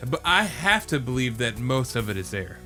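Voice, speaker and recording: A man's voice: medium pitch, sing-song, moderate pace, bright, forceful tone, faint music behind. A man co-hosting a podcast, chatting casually in a rambling way that circles back mid-thought. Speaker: podcast co-host. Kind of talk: casual chat.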